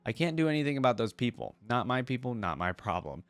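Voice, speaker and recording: A man reading a story aloud as a cocky, playful young female assassin, with cool, mocking, measured delivery. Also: mid-range voice, very animated, clear, resonant tone, dry recording, faint music behind, natural voice. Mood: dismissive. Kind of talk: first-person story read-aloud.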